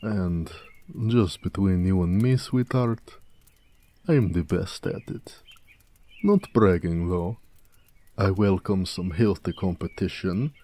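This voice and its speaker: Male actor as orc blacksmith, very low voice, dark mellow tone, tender, quietly proud, intimate fantasy audio drama. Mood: playfully proud. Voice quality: deep, mellow. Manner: unhurried, tender, modest yet proud. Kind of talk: intimate scripted audio roleplay to the listener.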